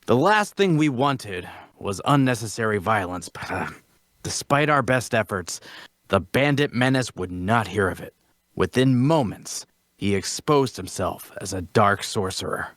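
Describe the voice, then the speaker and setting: A man's voice: lowish voice, lively intonation, a little slow, a bit bright, dry recording. The puffed-up hero holding forth in a fantasy audio drama, swooping through his grand tale in dry, close sound. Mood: pompous. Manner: grandiose, theatrical storytelling. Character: self-important male adventurer spinning a heroic tale. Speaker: actor at a table read. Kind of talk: dramatic fantasy dialogue reading.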